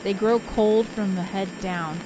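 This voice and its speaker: A woman's voice: medium pitch, steady tone, unhurried, noisy background, natural voice. A woman reading the part of a brooding, traumatized ghost girl, narrating a visual novel in an introspective, melancholy way. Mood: brooding, irritated. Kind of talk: dramatic visual novel read-aloud.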